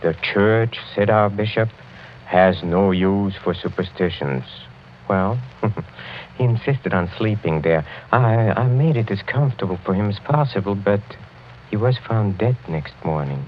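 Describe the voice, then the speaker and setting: An actor playing a courtly, sinister middle-aged count in a 1940s radio mystery, speaking with measured, suave menace. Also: male voice, lowish voice, a little hiss or hum, close to an everyday voice. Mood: ominous storytelling. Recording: muffled 1940s radio recording.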